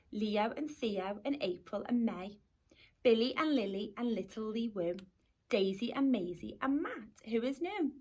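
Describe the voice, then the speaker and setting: A woman teacher reading a rhyming picture book aloud to young children in an expressive storytelling manner. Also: a little high. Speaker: primary school teacher.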